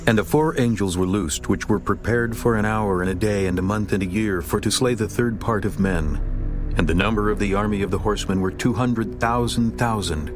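A man narrating a dramatized scripture reading in a steady, grave voice. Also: fairly low pitch, brisk. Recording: narration over music.

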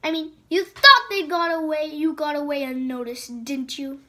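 A woman's voice: high voice, slow pace, a bit bright. A woman voicing a scheming, venomous female sand dragon queen who hisses and mocks, reading a storybook aloud. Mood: hissing. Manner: menacing, mocking, hissing taunts. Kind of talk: storybook read-aloud voice acting.

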